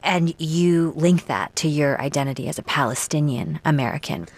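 A woman's voice: low voice, lively intonation, normal speed. A woman hosting a news radio program, asking interview questions and presenting in a composed, precise way.

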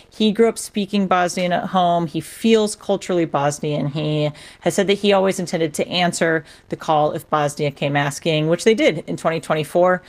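Female sports reporter with a lowish voice. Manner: explanatory, conversational. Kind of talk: radio interview report.

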